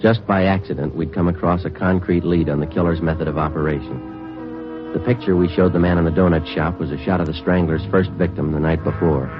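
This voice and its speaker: A man's voice: sits low, steady tone, brisk, natural voice. An actor playing a terse male police detective who narrates the case in a clipped, matter-of-fact way, in a 1950s radio drama. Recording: dull 1950s radio broadcast with music.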